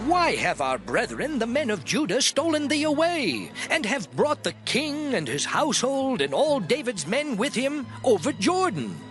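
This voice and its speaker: A man's voice: extremely high pitch, wildly swooping pitch, moderate pace, pauses often, fairly bright tone, soft background music, full character voice. An actor playing an aggrieved, accusing tribesman in a dramatized scripture, declaiming with indignation. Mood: indignant.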